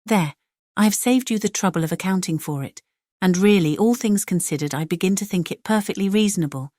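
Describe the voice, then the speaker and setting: A woman's voice: mid-range voice, a little fast, warm tone, dry recording, clean studio sound, close to an everyday voice. A woman voicing a playful, teasing, spirited young lady in a classic novel audiobook.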